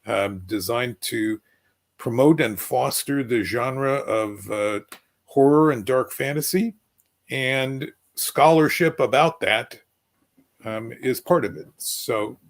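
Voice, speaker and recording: A man moderating an informal panel, speaking in halting, deliberate phrases. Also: mid-range voice.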